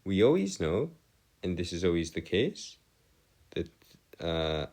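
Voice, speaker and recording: A man with a deep voice explains things step by step like a tutor. His delivery is slow, with very varied intonation. He phrases things formally and wordily, hesitating and correcting himself as he goes. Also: sing-song, a little mellow. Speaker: math tutor. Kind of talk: informal lecture, explaining step by step.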